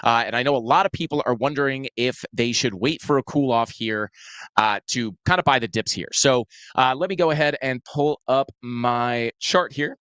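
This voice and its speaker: Man, show host, casual chart talk, lots of uh fillers, dry close sound, mid-pitched. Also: moderate pace, fairly bright tone, clean tone, no room sound. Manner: casual, filler-heavy, self-deprecating. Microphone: clip-on mic.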